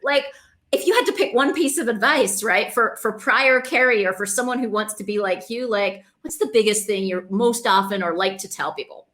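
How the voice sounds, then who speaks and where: Chatty woman podcast host, medium pitch. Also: normal speed, fairly bright tone. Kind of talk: casual interviewing.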